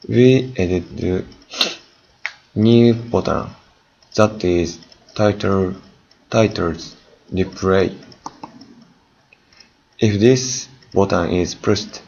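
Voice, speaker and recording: A dull recording carries a male student presenter with a low, creaky voice. He reads out his project extremely slowly, phrase by broken phrase, in non-native English. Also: darkish tone. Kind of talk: prepared presentation of a software project. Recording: dull, lo-fi recording with faint noise.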